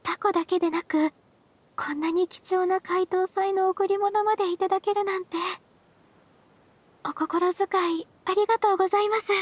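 A woman voicing a gentle, modest young woman disciple in an anime game, soft-spoken, courteous and formal. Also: very high voice, steady tone, normal speed, dry recording. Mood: grateful.

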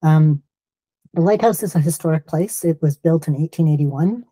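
A middle-aged man, explaining things in a measured, informative way as an interview guest on a video call. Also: a little high, mellow, no room sound, rough recording. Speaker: guest, lighthouse organisation executive.

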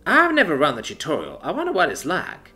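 A man's voice: a little high, wildly swooping pitch, brisk, sharp tone, soft background music, voice pushed up, full character voice. A man voicing an awkward, good-natured male space trucker who talks hesitantly with lots of fillers.

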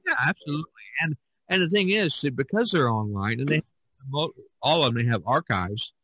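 A man hosting a podcast in casual conversation, talking in a folksy, rambling, halting way. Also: a little high, lively intonation, bright, forceful tone, slightly rough, no room sound. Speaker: podcast host.

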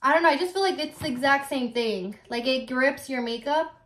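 A woman's voice: high-pitched, fairly quick, a bit bright, slight background noise. A woman in her twenties chatting casually on a beauty vlog, filled with "like", recorded on a phone in a bedroom. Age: 20s. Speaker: YouTuber. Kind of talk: casual chat. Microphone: phone camera. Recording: echoey room.

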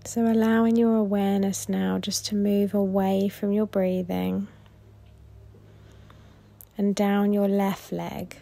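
A woman guiding a body scan meditation in a gentle, soothing, unhurried way with long pauses. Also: mid-range voice, flat delivery, creaky. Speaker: meditation guide.

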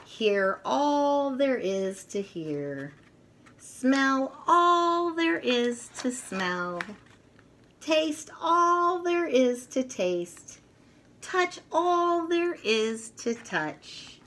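A middle-aged woman reads aloud for young children in a bright, lively voice that swings widely in pitch. Her delivery is expressive and deliberate, like a teacher at storytime. There is faint noise in the room behind her. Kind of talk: picture book read-aloud for young children. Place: furnished room at home, indoors.

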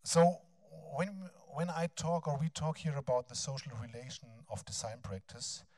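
A man in his fifties with a German accent gives a lecture in a large bright hall on a handheld mic, hesitating often with ums and restarts. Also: mid-range voice, clean studio sound. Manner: halting, frequent ums and restarts. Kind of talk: academic lecture, then reading a text aloud.

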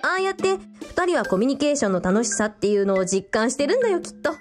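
A woman's voice: fairly high pitch, big pitch swings, fast, faint music behind. A woman playing a teasing, sociable young elder sister in a radio drama, with a casual, playful way of talking. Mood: fond, explaining.

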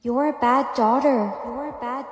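A woman's voice: sits high, moderate pace, husky. A woman acting dramatic characters such as a mother in a skit. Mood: accusing.

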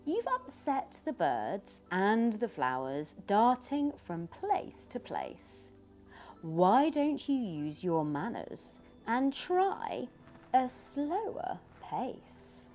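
A woman voicing a big, frank macaw in a children's storybook, giving firm, measured advice. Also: a little high, huge pitch swings, a little mellow, soft background music, pitched above the performer's own voice, exaggerated voice. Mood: gently scolding.

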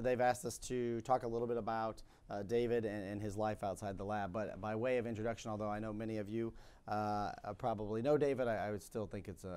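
Guy in his 30s, kinda scratchy voice, fairly low, lots of ums, lapel mic on a conference stage. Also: a little mellow, smooth. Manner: chatty, hesitant, many ums. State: slightly scratchy voice. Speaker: interviewer. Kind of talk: on-stage interview. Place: conference stage with curtain backdrop.

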